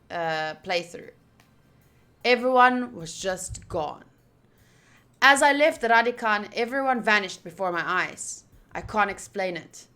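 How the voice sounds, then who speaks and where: A woman streamer reading a visual novel's narration aloud during a playthrough, with expressive, gushing asides. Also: a little high. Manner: expressive, gushing asides between read passages.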